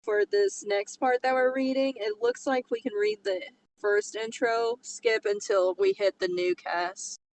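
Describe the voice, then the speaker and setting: A woman's voice: medium pitch, steady tone, a little slow, creaky, hardly any pauses, darkish tone, poor audio. A woman co-hosting a show, reading a story aloud with casual asides. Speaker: co-host reading online stories aloud.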